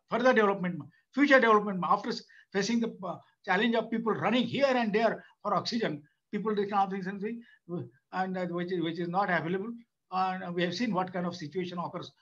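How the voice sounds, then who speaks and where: An older Indian man with a fairly high voice speaks off the cuff at a webinar, stopping and restarting his sentences often. The sound is dull and lo-fi, as from a video call. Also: a bit bright. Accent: Indian English. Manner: halting, many restarts and fillers. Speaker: webinar speaker, former institute chairman. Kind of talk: unscripted speech.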